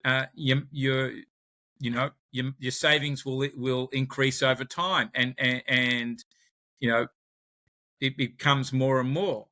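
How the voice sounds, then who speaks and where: Australian man, interview guest, bright forceful voice, middle pitch, halting repeated words. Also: steady tone. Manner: repeats words while searching for phrasing. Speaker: podcast guest. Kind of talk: conversational interview.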